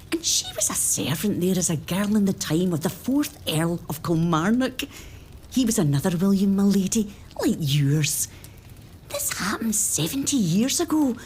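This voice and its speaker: Woman playing an older Scottish nursemaid, motherly, confiding, lively melody, faint noise. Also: mid-range voice, close to an everyday voice. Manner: hushed, confiding storytelling.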